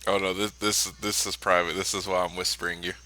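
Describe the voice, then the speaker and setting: A man's voice: low-pitched, little pitch movement, a little fast, pushed and bright, rough recording. A man playing a tabletop role-playing game, speaking casually in character.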